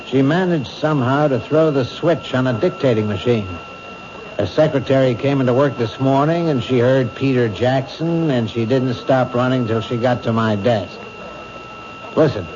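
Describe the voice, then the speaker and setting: Male actor as police captain, authoritative, brisk, radio drama, lo-fi muffled sound, noisy background. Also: mid-range voice, lightly acted. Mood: reflective.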